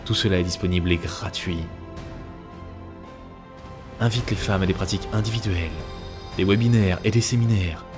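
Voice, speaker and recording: A male narrator with a deep voice delivers scripted narration. Music plays clearly behind him as he reads. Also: moderate pace, long pauses.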